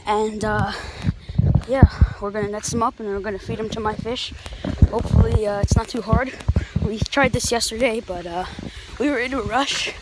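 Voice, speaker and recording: A teenage boy with a fairly high voice chats excitedly as he vlogs in the woods. He curses under his breath as he works and is filmed on a handheld phone. Loud outdoor noise runs under everything. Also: normal speed. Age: teens. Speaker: vlogger. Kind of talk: casual outdoor vlog. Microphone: handheld phone camera.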